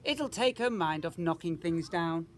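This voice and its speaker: A man's voice: on the high side, lively intonation, measured pace. A man reads as an older master builder from a storybook, an assured great uncle. His advice comes out plain and matter-of-fact, over faint background noise.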